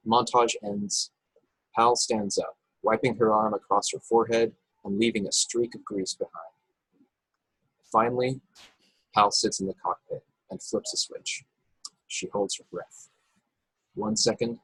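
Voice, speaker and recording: A man reading stage directions as scripted narration in a live table read, with a husky voice. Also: on the low side, dry recording, poor audio. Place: remote video call, dry sound.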